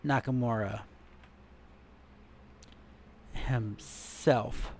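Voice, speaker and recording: A man's voice: mid-range voice, steady tone, slow pace, slight background noise. A man casually commenting while browsing articles and images online, musing and trailing off as he searches. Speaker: gaming content creator.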